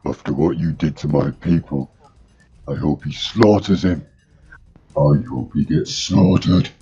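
A man's voice: rumbling low, unhurried, warm tone, husky, full character voice. A man playing a wounded captive guard, defiant and yielding by turns, in improvised video game roleplay. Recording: dull lo-fi game voice chat, music behind.

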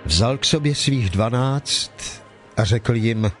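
A man's voice: medium pitch, animated. A man reading scripted narration for a dramatized scripture production. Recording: faint music behind the voice.

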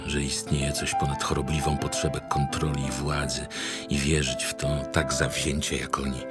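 A man performing as the grave narrator of a dark mystery audio drama, reading scripted literary prose. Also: extremely low pitch. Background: loud music.

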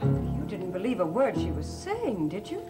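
A woman's voice: lowish voice, wildly swooping pitch, normal speed, over loud music. An actress playing a cool, elegant, sly middle-aged lady in a gothic soap opera, poised and arch. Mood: sly, inviting.

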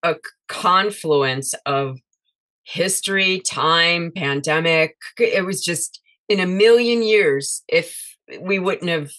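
Low-pitched lady chatting about cookies, lots of um and restarts. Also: slow pace, fairly bright tone. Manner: chatty, many fillers and restarts. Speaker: guest, cookbook author. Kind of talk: casual interview chat.

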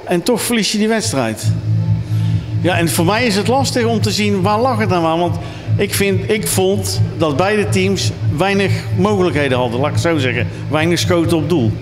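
Guy doing a casual sports interview, bit high voiced, chatty, music going behind him. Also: moderate pace. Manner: chatty, informal. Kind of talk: casual post-game interview. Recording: reverberant room, music behind.

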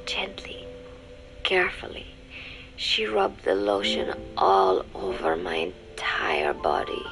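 A woman's voice: medium pitch, normal speed, lightly acted, poor audio. A woman playing a young, wary female secret agent, confiding a diary in scripted first-person narration. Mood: hushed, entranced. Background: music.

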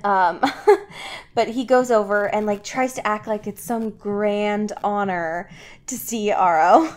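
A woman's voice: medium pitch, takes it slowly. Slangy woman podcaster recapping a story. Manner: animated storyteller acting out characters' reactions in slang. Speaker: podcast host. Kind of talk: casual, slangy chat recapping a book.

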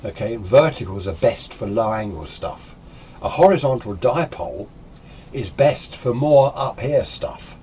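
A man's voice: medium pitch, lively intonation, measured pace, dark tone, slight background noise. A British man in his sixties giving an informal, opinionated, rambling explanation as a hobbyist presenting a tutorial. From United Kingdom. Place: small indoor radio workshop.

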